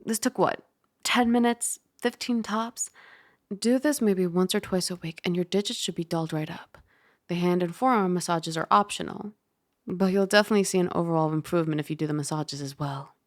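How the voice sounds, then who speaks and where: A woman voicing a caring young dragon roommate in a soft ASMR roleplay, gently bossy and teasing as she gives close-mic instructions. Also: lowish voice, brisk, dry recording, close to an everyday voice. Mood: gently scolding. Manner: gently bossy, teasing, puzzled by human ways. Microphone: close ASMR microphone.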